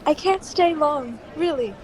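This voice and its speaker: Woman playing jumpy polite lady dodging questions in old radio drama, high voice, hesitant, bit of noise. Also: lively intonation, a little slow. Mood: hesitant, guarded. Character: nervous, evasive well-dressed woman hiding dread.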